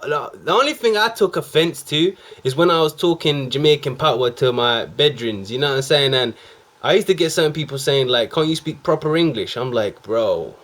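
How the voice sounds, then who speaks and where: A man's voice: medium pitch, fairly bright tone. A man hosting a reaction video, chatting casually in laid-back slang and calling people bro.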